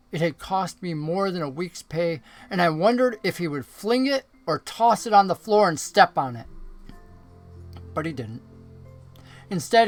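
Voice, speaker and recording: A man with a fairly high voice narrates a story expressively. He reads scripted prose aloud, with music behind him. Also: a bit bright. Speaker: story reader, pastor.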